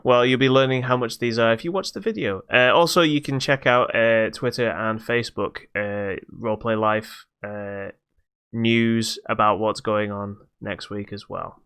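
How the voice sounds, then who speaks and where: A middle-aged man co-hosting a casual podcast, talking in a halting, hesitant way. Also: fairly low pitch, a bit bright, clean tone. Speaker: podcast co-host.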